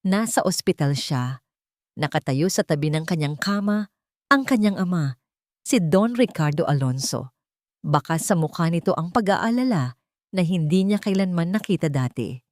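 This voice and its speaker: A woman narrating a scripted romance story in an emotive, measured storytelling manner. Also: on the low side, expressive, a little mellow, dry recording, clean studio sound.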